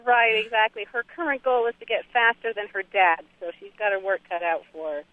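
A woman's voice: medium pitch, steady tone, brisk, no echo. A woman calling in to a radio show, friendly and upbeat. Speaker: caller. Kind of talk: call-in comment on radio. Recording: tinny phone line.

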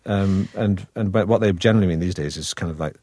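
A man's voice: low-pitched, quick pace, warm tone, dry recording. A man with a Manchester accent, an actor chatting as an interview guest in a wry, hesitant way full of restarts. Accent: Manchester (northern English). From Manchester, England. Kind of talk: relaxed interview conversation.

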